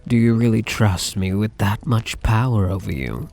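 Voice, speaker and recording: A man playing a soft, lovesick young mafia heir in an ASMR roleplay, speaking in a hesitant, tender, halting way. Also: fairly low pitch, creaky. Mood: hesitant, coaxing.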